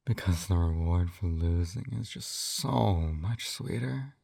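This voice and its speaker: Casting: male actor as a smugly tender hypnotist captor for ASMR audio drama; velvety, mellow, unhurried, teasing, recorded close and dry. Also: deep voice, no echo, full character voice. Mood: persuasive, sly. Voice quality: soft, velvety, breathy whispers at times. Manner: slow coaxing, teasing tag questions.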